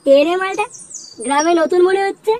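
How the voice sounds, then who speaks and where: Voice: extremely high pitch, fairly quick, crowd noise. A performer voicing a bossy, coarse male village gang leader in a comic cartoon. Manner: bossy, coarse, swearing constantly. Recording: distant, very noisy cartoon soundtrack.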